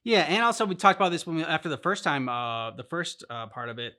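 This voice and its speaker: A man co-hosting a casual reaction chat, speaking in loose, halting, conversational phrases. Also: fairly high pitch, big pitch swings, pushed and bright. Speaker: reaction channel co-host. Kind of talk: casual chat about a video game story.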